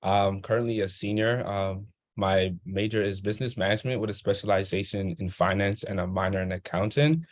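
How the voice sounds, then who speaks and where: A man's voice: low voice, fairly even intonation, brisk, no echo. A young man from Haiti, a student panelist, introducing himself earnestly with many ums on a video call. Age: young adult.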